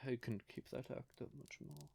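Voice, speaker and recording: A man playing a courtly, possessive vampire lover in an audio roleplay, speaking theatrically and deliberately with syrupy endearments and breathy, quietly menacing undertones. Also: medium pitch, fairly even intonation, a little hiss or hum. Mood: mocking, menacing. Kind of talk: scripted audio roleplay addressed to the listener.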